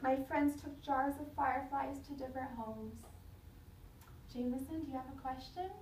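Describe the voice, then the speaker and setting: Woman, teacher, high-pitched voice, expressive children's read-aloud, dramatic delivery, echoey room. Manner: dramatic, pausing to question listeners. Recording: roomy, distant mic, faint noise.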